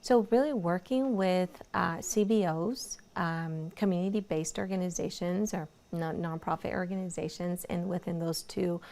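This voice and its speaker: A woman in her thirties from Mexico, a native Spanish speaker with a Mexican accent, talking haltingly as a guest in an interview and often murmuring agreement. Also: lowish voice, a little mellow. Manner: halting, with frequent "mm-hmm" backchannels. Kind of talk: interview conversation.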